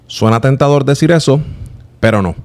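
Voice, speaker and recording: A man's voice: medium pitch, normal speed, darkish tone. A man from Puerto Rico in his thirties with a Puerto Rican accent, hosting his own channel and earnestly explaining his reasons in a personal monologue that speaks straight to the viewer. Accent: Puerto Rican Spanish.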